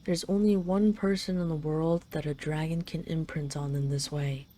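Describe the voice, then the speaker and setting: Mellow, low-voiced dragon queen in love, acted by a woman. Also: steady tone, normal speed, natural voice. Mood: tender, confiding. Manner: teasing, tender, lingering delivery. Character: possessive, teasing, doting dragon queen in love. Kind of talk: intimate roleplay audio acting.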